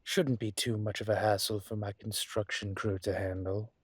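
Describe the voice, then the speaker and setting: A man voicing a calm, courteous dragon mafia boss in a roleplay audio, speaking in a husky, measured, polite way. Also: fairly low pitch, no room sound, clean studio sound. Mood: courteous, businesslike. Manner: measured, polite, deliberate with pauses. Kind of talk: scripted roleplay audio monologue to listener.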